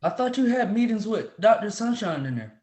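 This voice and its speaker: A man plays a proud, stern professor in a dramatic reading. His voice is notably high and very animated, with formal, reproving diction. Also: brisk. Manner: formal, reprimanding. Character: stern, proud male professor, demanding authority figure.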